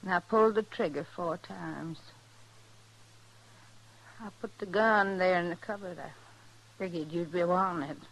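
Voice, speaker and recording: An actress playing a middle-aged, long-abused rural wife in a radio western, plain and quietly resolute, with a rural American accent. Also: female voice, fairly low pitch. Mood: grimly resolute. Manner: plain, unhurried, folksy. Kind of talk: 1950s radio western acting. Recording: noisy old radio drama recording.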